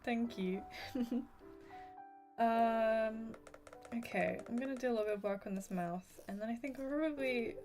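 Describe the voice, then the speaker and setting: A soft-spoken woman whose voice is going hoarse, chatting apologetically with viewers as she draws on a livestream. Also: medium pitch. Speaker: art streamer. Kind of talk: casual livestream chat while drawing.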